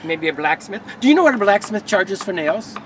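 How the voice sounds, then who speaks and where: Droll old-time immigrant fisherman, played by a man, fairly high voice, a bit far from the mic, outdoor noise. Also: brisk. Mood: droll storytelling. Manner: droll, deadpan tall-tale telling. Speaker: living-history storyteller. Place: outdoor festival grounds.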